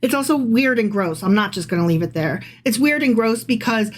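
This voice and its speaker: A woman's voice: a little high, lively intonation, brisk, a little hiss or hum. Irreverent woman podcaster, casual and blunt. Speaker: podcast co-host. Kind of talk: casual Bible discussion with some reading aloud.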